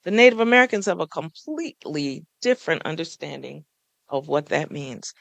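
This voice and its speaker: A man's voice: a little high, big pitch swings, moderate pace, creaky, fairly bright tone, no room sound. A man, a folklorist, speaking to a live audience on a panel in a small café, earnest and coaxing, often putting questions to the crowd.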